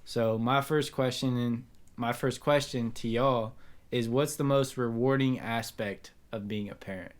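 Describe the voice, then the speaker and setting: A young man hosting a casual podcast chat, relaxed and asking his guests questions. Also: medium pitch, unhurried, hardly any pauses. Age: young adult. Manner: easygoing, asks questions, laughs along. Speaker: podcast host.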